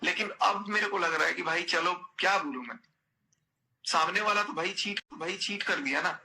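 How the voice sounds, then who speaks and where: Man, very sharp forceful voice, insistent, repetitive, personal phone call, faint noise. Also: high voice, normal speed, vocal fry. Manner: insistent, repeats phrases. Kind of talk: heated personal phone conversation.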